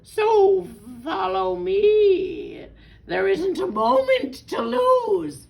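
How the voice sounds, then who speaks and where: A woman voicing a sly male fox from a storybook, coaxing with theatrical, drawn-out false concern. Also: extremely high pitch, wildly swooping pitch, creaky, pitched above the performer's own voice, heavily acted.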